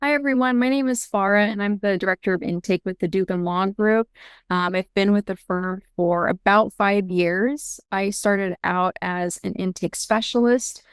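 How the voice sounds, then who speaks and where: A woman's voice: medium pitch, normal speed, clean tone, no echo. A young woman from Seattle, answering interview questions about her work and upbringing in a chatty way, with many ums and restarts. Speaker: guest, intake director at a law firm.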